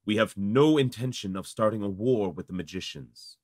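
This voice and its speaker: A man's voice: lowish voice, moderate pace, dry recording, studio quality, natural voice. A man voicing a courteous, dignified marquis in a visual novel, speaking formally and with measure. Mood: calm, courteous. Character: courteous, dignified male human marquis seeking peace. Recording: loud music over the voice.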